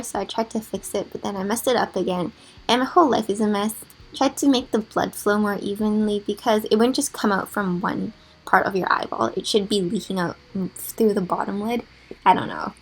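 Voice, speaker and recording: A young woman's raspy, slightly high voice with a gravelly edge. She chats in a casual, rambling way and pokes fun at herself, peppering her talk with fillers like "like". It is a relaxed gaming vlogger voice-over. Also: rough recording. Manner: chatty, self-deprecating, lots of "like" fillers. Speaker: gaming YouTuber. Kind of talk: casual voice-over of a drawing timelapse.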